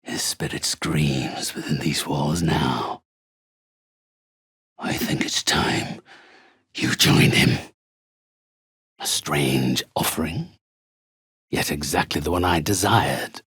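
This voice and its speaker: An actor playing a haughty, sinister necromancer in a video game, with a raspy, gravelly voice and a formal, theatrical, condescending manner. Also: male voice, extremely low pitch, slow, pauses often, fairly bright tone, no echo, studio quality, exaggerated voice. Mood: menacing. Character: haughty sinister male necromancer who commands spirits. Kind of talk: video game character acting.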